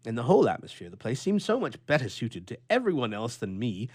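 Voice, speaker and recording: A man's voice: medium pitch, sing-song, quick pace, darkish tone, husky. A man voicing an irritable, lonely bachelor in a storybook reading, with emphatic, indignant, old-fashioned oratory. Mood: wistful, aggrieved.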